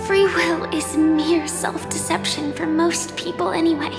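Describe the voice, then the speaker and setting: Very high-voiced, resolute young heroine. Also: female voice, level tone, a little slow, full character voice. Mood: gentle conviction. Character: gentle but resolute young woman warrior, quietly hopeful. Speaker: voice actor in a game cutscene. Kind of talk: scripted dramatic game dialogue. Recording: long reverb under clear music.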